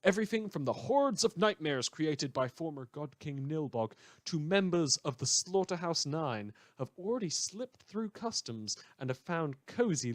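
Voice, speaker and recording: A man's voice: on the high side, no echo. A man playing a sardonic, rambling radio news host, delivering satirical scripted news with wry, theatrical asides. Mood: sardonic, chatty. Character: sardonic, rambling madman community radio newscaster in fiction.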